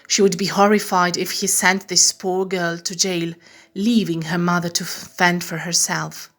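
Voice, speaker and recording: A woman narrating a children's bedtime story in a measured, expressive storytelling style. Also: fairly low pitch.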